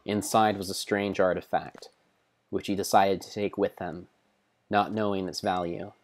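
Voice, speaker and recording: Guy with a deep voice narrating a game like a grim adventure tale, theatrical and measured. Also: little pitch movement. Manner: theatrical, solemn, measured storyteller. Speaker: streamer narrating own gameplay. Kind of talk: dramatic storybook narration of a video game.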